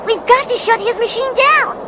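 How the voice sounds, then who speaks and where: A woman's voice: very high-pitched, normal speed, very noisy. A woman voicing a plucky, eager boy hero in a cartoon video game, with a breezy kid-like manner. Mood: determined.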